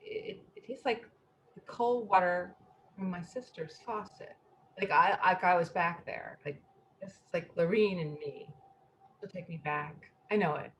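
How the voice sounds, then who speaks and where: Fretful, fragile middle-aged oddball played by a woman, lowish voice, stammering, anxious, noisy room sound. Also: lightly acted. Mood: meek, wistful. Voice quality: hesitant, stammering. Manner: anxious, halting, self-pitying. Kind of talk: play reading. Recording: noisy room recording with ambience.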